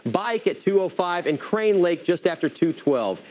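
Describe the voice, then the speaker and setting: A man's voice: high voice, lively intonation, normal speed, darkish tone, dull audio. A man working as a TV meteorologist, giving live, conversational and explanatory severe weather commentary.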